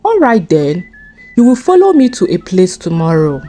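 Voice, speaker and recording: A woman's voice: on the low side, wildly swooping pitch, normal speed, dark tone, soft background music. A woman voicing a smug, wealthy male criminal recruiter in a folktale, confident and coaxing.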